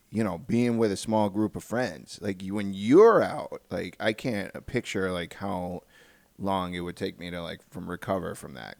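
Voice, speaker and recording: A man hosting a podcast in a casual conversational way, rephrasing his thoughts haltingly as he explains them. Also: deep voice, creaky, no echo. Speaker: podcast host.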